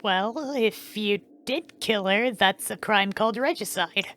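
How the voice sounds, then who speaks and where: A woman's voice: mid-range voice, a little slow, fairly bright tone, a little hiss or hum, lightly acted. A woman in a fan dub voicing a young queen who is cautious, curious and well-meaning, speaking hesitantly and questioningly. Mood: concerned, reasoning.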